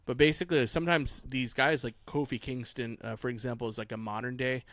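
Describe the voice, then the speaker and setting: A man's voice: medium pitch, steady tone, fairly quick, a bit bright, no room sound, clean studio sound. A man on a casual discussion panel, giving opinions in a blunt, rambling way with casual swearing. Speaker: podcast panelist. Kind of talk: casual opinionated sports chat.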